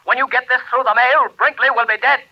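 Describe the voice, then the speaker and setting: High, urgent newspaperman on the phone. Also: steady tone, fast talker, gravelly, lo-fi sound, over loud music. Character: urgent, excitable male newspaperman reporting by telephone. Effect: telephone filter. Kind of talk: 1930s radio drama acting. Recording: thin telephone voice on old radio.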